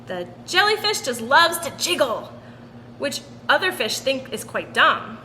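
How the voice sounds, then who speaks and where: Animated high-voiced woman reading to children. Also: a little slow. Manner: animated, sing-song storytelling. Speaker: children's storytime reader. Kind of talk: expressive picture-book read-aloud for children. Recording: roomy long reverb with background noise.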